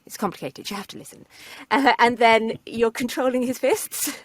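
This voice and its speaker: A woman's voice: fairly high pitch, normal speed, no echo. A woman in her thirties talking casually and animatedly in an interview, recorded in a small vocal booth. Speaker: voice actor, cast member interviewed. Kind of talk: casual chat in a video interview.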